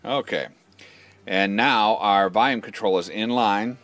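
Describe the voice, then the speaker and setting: A man's voice: fairly low pitch, a little slow, fairly bright tone, faint music behind. A man demonstrating a product in an informal, matter-of-fact, step-by-step instructional style. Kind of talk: informal hands-on instructional walkthrough.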